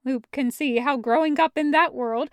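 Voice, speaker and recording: A woman reading a story aloud as scripted, expressive audiobook narration. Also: high voice, moderate pace, no room sound, clean studio sound. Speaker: fan fiction audiobook reader.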